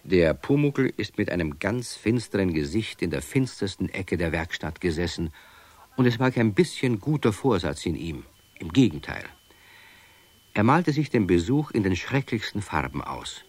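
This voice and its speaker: A man playing the narrator of a children's radio play, telling the tale in an expressive scripted storytelling style. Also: sits low, moderate pace. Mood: wry storytelling.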